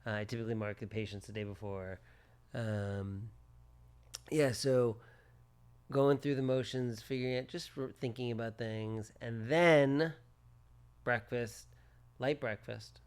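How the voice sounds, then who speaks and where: A man's voice: medium pitch, steady tone, unhurried, pauses often, smooth. A man in his forties, a plastic surgeon co-hosting a podcast, chatting casually in short repeated phrases. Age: 40s.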